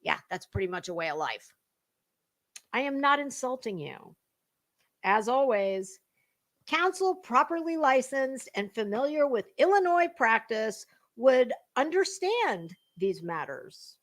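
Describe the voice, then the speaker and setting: A woman with an American accent and a fairly high voice reads aloud with theatrical emphasis. She breaks into casual, gossipy commentary in a blunt, snarky way. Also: very animated, unhurried. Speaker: online commentator reading documents aloud.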